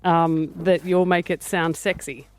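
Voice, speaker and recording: An Australian woman co-hosting a breakfast radio show from a studio, teasing and enthusiastic, with quick laughs. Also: on the low side, steady tone, a little mellow, dry recording, soft background music. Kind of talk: casual breakfast radio banter.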